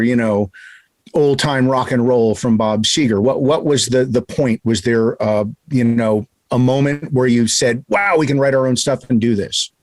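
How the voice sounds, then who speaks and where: A male radio host who asks his questions in a loose, conversational way and likes to rib his guests. His voice sits at an ordinary middle pitch, and the recording is dry and close with no room sound. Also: darkish tone, dry recording. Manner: joking, teasing. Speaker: radio host interviewing a band. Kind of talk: casual interview questions.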